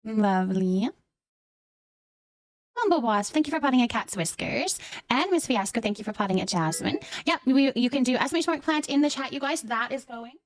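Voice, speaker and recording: High-voiced woman livestreamer, effusive and affectionate. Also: animated, normal speed. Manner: repeats words and short phrases. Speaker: live streamer hosting a chat. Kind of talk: casual livestream chat, thanking viewers.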